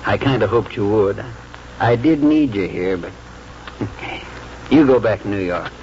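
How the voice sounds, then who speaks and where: Male actor as gentle old father, frail, folksy, halting, 1940s radio drama, muffled, faint noise. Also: on the low side, natural voice. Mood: wistful. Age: older. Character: ailing, gentle old country lawyer, a modest father.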